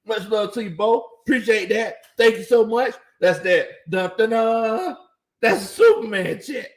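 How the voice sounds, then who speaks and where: A man's voice: high voice, lively intonation, unhurried. Chuckling man, slightly worn voice. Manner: laughs often, chuckling through his lines. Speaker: sports livestream host. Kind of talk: casual livestream commentary, reading viewer chats. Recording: echoey room.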